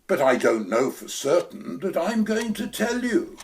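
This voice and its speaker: An actor playing a smooth, dangerous fat villain who drawls and chuckles pompously in an amateur audio play. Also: male voice, sits high, sing-song, unhurried, slight background noise, exaggerated voice. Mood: smooth menace.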